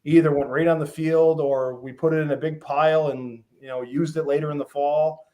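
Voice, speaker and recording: Middle aged massachusetts guy, kinda higher voice, laid back storytelling w lots of ums. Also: a little fast. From Western Massachusetts, USA. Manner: relaxed storytelling with many ums and lists. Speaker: guest, fish farm founder. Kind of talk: casual interview.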